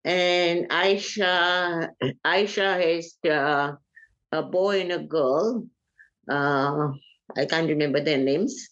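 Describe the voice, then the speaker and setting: Slow-talking older woman, lo-fi sound. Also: low voice, a bit bright. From Uganda. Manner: unhurried, hesitant, pauses often with "uh". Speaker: guest, family elder. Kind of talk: casual family interview.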